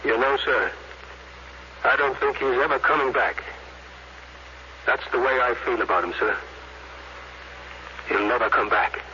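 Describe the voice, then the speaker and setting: A man's voice: medium pitch, a little slow, long pauses, sharp tone. An actor playing a deferential, uneasy crewman in a 1950s radio drama, talking plainly and respectfully to a superior. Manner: respectful, plain-spoken military address. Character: deferential, uneasy male crewman reporting to his captain. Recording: muffled, noisy old radio recording.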